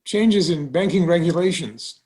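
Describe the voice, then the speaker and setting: A man playing a genial, seasoned small-town bank president in a musical theatre table read, speaking in a courteous, measured way. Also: on the high side, animated, a little mellow. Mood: thoughtful, cautious.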